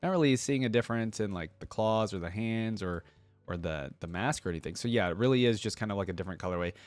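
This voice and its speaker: A man's voice: lowish voice, fast talker, no room sound, soft background music. Casual man reacting, close dry sound. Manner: informal, hesitant deliberating, addresses viewers directly. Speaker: host of a game reaction channel. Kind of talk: casual running commentary, thinking aloud.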